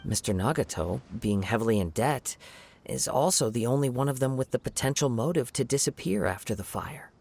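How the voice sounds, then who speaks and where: A man voicing a calm, polite investigator in a game who reasons aloud in a formal, measured way. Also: medium pitch, gravelly. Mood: thoughtful. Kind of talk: game voice acting.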